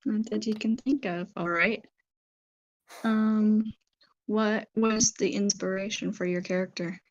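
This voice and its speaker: A young woman hosting a casual online interview, her voice a little soft and hoarse, talking with many fillers and pauses. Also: medium pitch, fairly even intonation, dull audio. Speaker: interview host.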